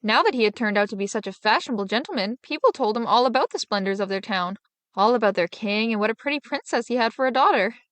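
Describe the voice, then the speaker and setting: A woman storyteller reading a fairy tale aloud in an expressive, dramatic manner. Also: fairly high pitch, fast, dry recording. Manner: dramatic, animated.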